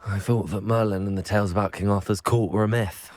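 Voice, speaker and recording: An actor playing a polite, curious young student adventurer in a video game. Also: male voice, fairly low pitch, fairly even intonation, fast talker, darkish tone, lightly acted. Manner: courteous, inquisitive. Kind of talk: video game character dialogue.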